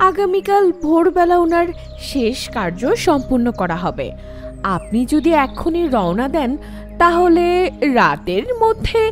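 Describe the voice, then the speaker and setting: A woman voicing a polite young female schoolteacher in a horror cartoon, her manner quietly ominous. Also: high-pitched. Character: young female village schoolteacher, soft and eerie. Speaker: new schoolteacher. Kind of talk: cartoon voice acting.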